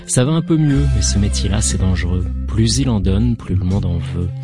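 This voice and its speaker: A man hosting a radio interview, introducing his guest with a measured, lyrical reading. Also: fairly low pitch, animated. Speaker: radio host. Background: music bed.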